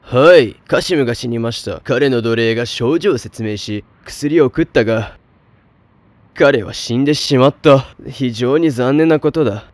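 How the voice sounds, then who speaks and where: A man's voice: medium pitch, unhurried, no room sound, close to an everyday voice. A man voicing a plain, matter-of-fact male village doctor. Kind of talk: storybook voice acting.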